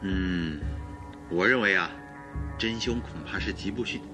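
An actor playing a thoughtful, earnest detective's companion reasoning aloud in a radio drama. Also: male voice, sits low, unhurried, music in the background.

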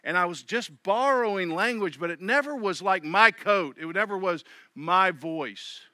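A middle-aged pastor giving a sermon in a conversational way, often voicing the thoughts of others. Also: male voice, high voice, normal speed, sharp tone, dry recording, clean studio sound. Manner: conversational preaching with quoted inner voices.

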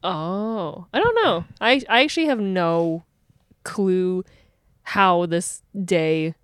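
A woman's voice: lowish voice, lively intonation, slow, smooth, no room sound, clean studio sound. A woman co-hosting a podcast in casual chat, chatty, rambling and playful. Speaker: podcast co-host.

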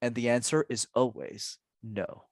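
A man's voice: mid-range voice, unhurried, gravelly, no room sound, studio quality. A man in his twenties, a public speaking coach answering interview questions in an earnest, emphatic way, full of rhetorical questions. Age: 20s.

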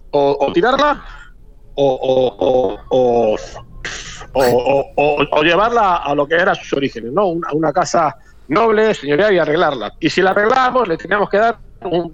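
A man in his forties from Madrid with a Castilian Spanish accent, a hotel owner giving a chatty, halting radio interview full of repeated words. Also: fairly high pitch. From Madrid, Spain. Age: 40s. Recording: dull lo-fi radio audio.

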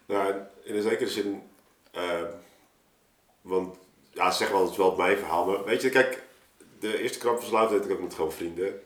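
A man's voice: low voice, moderate pace, a bit bright. A man chatting casually and explaining his creative process, often digressing and interrupting himself mid-thought. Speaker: artist, story maker on a podcast. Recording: roomy, echoing room sound.

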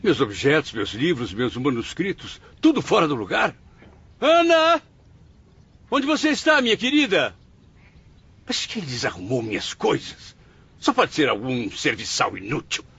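Tormented dead writer in a radio drama, high pleading voice, kinda creaky, muffled recording. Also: male voice, sing-song, unhurried, a bit bright, full character voice. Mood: confused, irritated. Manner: melodramatic, pleading, theatrical. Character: anguished, confused male writer's spirit after suicide.

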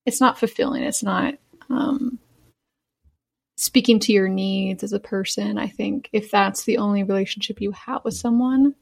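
Creaky-voiced woman, casual and reflective. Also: mid-range voice, steady tone, moderate pace. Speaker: podcast guest.

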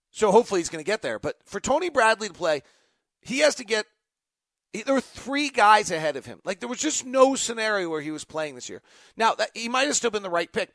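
Bright, high-pitched man talking sports. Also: no echo. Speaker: sports show host and radio announcer. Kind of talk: live unscripted sports talk with host-read ad.

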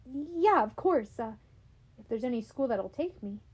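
A woman's voice: a little high, lively intonation, measured pace, a little mellow, slightly rough. A woman reading an eager teenage boy hero.

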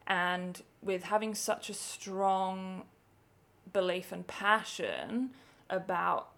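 Chatty English woman with gravelly edge. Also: medium pitch, lively intonation, slow pace, fairly bright tone. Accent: English with some Australian. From England. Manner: chatty, laughs often, many likes and ums. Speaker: podcast co-host. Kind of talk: casual chat.

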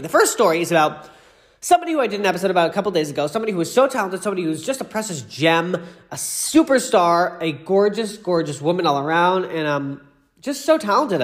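A man's voice: fairly high pitch, lively intonation, quick pace. A man hosting a casual solo pop culture podcast, gushing and effusive, rambling off on asides and sounding congested from allergies.

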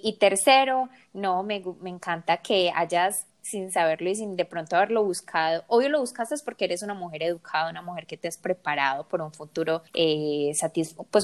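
Woman host, Latin American accent, a bit low-pitched, warmly encouraging, repeats words. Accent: Latin American Spanish. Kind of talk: casual interview chat.

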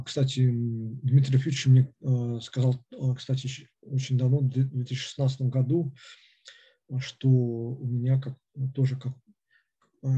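Guy rambling through a philosophy lecture, lots of ums and restarts, really dark husky voice, muffled lo-fi audio. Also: mid-range voice. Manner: halting, with constant hesitations and fillers. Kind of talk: unscripted lecture.

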